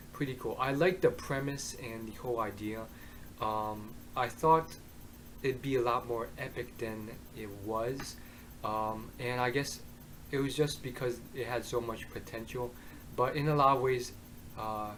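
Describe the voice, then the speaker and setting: A hoarse young man reviewing an anime to his webcam in his bedroom, rambling with many ums and uhs. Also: mid-range voice, a little hiss or hum, poor audio. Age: young adult. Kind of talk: casual vlog review. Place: bedroom, indoors. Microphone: webcam at desk.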